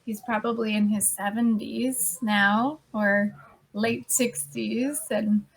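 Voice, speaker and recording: A woman's voice: on the high side, steady tone, slow pace, creaky, husky, poor audio. A woman tutoring English in casual chat over a video call, chatty and patient, often laughing as she explains pronunciation. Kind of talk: casual conversation class. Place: standing at home during a video call.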